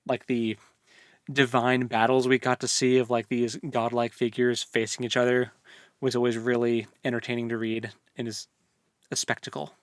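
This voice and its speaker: A man with a mid-range voice talks as a podcast co-host in a dry, close-sounding recording. He works through his opinions loosely in relaxed, informal book discussion. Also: medium pitch, normal speed, no room sound.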